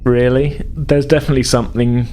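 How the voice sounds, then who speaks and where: A man acting as game master, narrating a tabletop game casually in a halting, explanatory way. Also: medium pitch, level tone, background noise.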